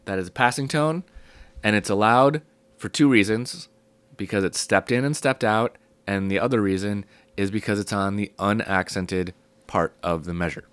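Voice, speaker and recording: Man teaching theory while sick, low rough voice, relaxed ums, clean and dry. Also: normal speed, no echo. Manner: relaxed, thinking aloud with many ums. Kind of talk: casual spoken lesson.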